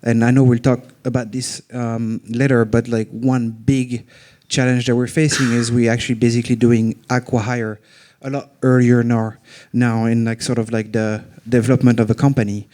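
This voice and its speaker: A man from France with a thick French accent, speaking as a panelist at a live event. He is self-deprecating and speaks slowly on purpose. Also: medium pitch, flat delivery, mellow. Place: members' club event room in front of an audience.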